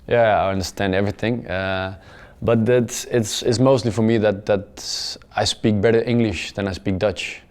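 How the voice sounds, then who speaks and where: A young man with a low voice answers interview questions in careful English, his pitch staying level with little rise or fall. He stumbles over repeated words and fills gaps with "uh", and his sentences fade out quietly at the ends. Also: fairly even intonation, clear, resonant tone. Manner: halting, repeats words often with many "uh" fillers; plain and measured, trails off softly at the ends of phrases. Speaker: football player. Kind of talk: post-match interview answers.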